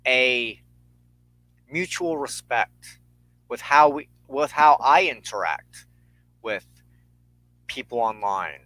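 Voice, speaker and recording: Man, very unhurried delivery, mid-range pitch, earnest spiritual host, short halting phrases, deliberate pauses. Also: expressive, sharp tone, poor audio. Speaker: host and minister of a spiritual show. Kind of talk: reflective spiritual talk to an online audience.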